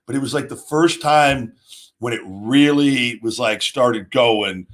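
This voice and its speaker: A man in his late thirties hosting a casual, off-the-cuff talk show, rambling and often restarting his sentences. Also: medium pitch. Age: 30s. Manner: rambling, halting, with repeated restarts. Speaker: show host, former pro wrestler. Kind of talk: casual off-the-cuff monologue answering viewers' questions.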